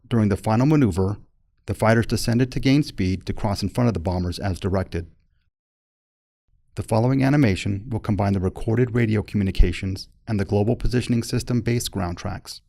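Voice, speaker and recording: A man delivers formal scripted report narration in a low register with a gravelly edge. He is measured, factual and impersonal. The recording is close and dry, with no room around the voice. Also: a little mellow, no echo.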